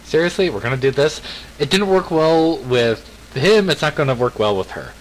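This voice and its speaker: A man's voice: fairly high pitch, animated, moderate pace, low-quality recording. A man in his twenties giving casual commentary as he plays a video game. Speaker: let's player. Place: indoor room at a desk. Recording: loud game audio behind voice.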